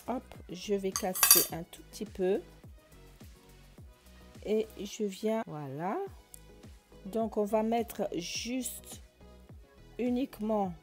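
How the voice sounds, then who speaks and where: A woman's voice: medium pitch, darkish tone. Chatty woman with a stuffy nose. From Comoros. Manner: chatty, many hesitations. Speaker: beauty vlogger. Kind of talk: casual how-to vlog.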